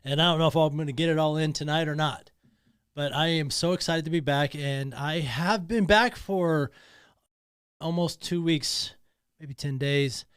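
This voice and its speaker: A man's voice: a little high, normal speed, fairly bright tone, clear, resonant tone, no room sound. Emphatic man hosting a studio podcast. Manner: emotional, emphatic, repeats himself. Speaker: podcast host. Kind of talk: personal monologue.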